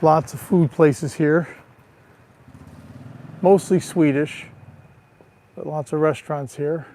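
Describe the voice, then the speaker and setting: A man with a raspy, allergy-roughened voice chatting casually to viewers while walking outdoors, recorded on a handheld phone. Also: a little high, steady tone, takes it slowly, warm tone, slight background noise. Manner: chatty, greets viewers by name. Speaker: livestream vlogger. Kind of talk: casual live walking tour. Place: outdoors on a town street.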